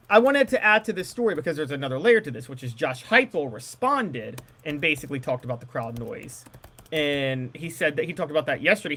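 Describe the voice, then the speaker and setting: A man with a high-pitched voice chats as co-host of a sports show. His intonation is very lively, moving widely up and down. The delivery is casual and conversational, with emphatic stress on his main points.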